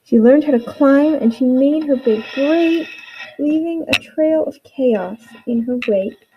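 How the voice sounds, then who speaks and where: A woman's voice: high voice, a little slow, lo-fi sound, background noise. A woman teacher giving an instructional read-aloud for students, encouraging them with guiding questions.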